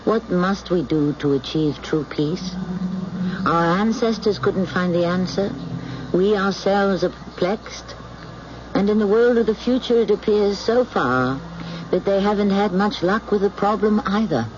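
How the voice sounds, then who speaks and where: A man's voice: sits high, a little hiss or hum. A man narrating as host of a radio mystery series, theatrical, musing and rhetorical. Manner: musing, rhetorical, deliberate phrasing. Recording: muffled old radio broadcast with music.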